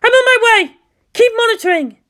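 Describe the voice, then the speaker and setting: A fiery female commander, acted out by a woman narrator, pitched very high and swinging widely as she shouts her indignation. She is alarmed, emphatic and quick to anger, in a dramatic audiobook style. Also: expressive. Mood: urgent. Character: hot-tempered, alarmed female military commander. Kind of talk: dramatic audiobook character acting.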